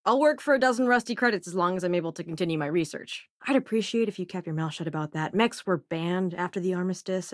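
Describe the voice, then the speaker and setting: A woman's voice: on the low side, no room sound, studio quality, natural voice. A woman voicing a sardonic, self-assured young female crewmate and researcher in a video game, with a casual, wry delivery.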